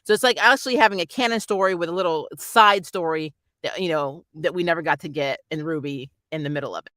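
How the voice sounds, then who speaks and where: A reviewer with a bright, forward voice, recorded close and dry with almost no room sound. The delivery is casual and conversational, with animated emphasis, like a keen fan hosting an episode review. The pitch sits in a middle range. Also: quick pace, no echo. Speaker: host of an anime review channel. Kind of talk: casual spoiler review chat.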